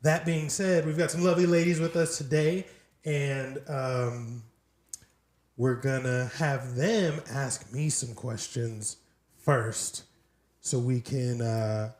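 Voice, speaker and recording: A man's voice: mid-range voice, fairly even intonation, slow pace, a little mellow, reverberant. A man in his thirties hosting a podcast in a blunt, brash way, swearing freely. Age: 30s. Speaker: podcast host. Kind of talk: casual, profane podcast chat.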